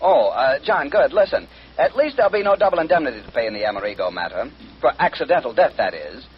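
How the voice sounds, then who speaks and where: An actor playing a brisk, anxious insurance company man in a 1950s radio drama. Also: medium pitch, expressive, a little hiss or hum, close to an everyday voice. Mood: urgent, businesslike. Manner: hurried, hesitant starts. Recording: muffled band-limited vintage radio recording.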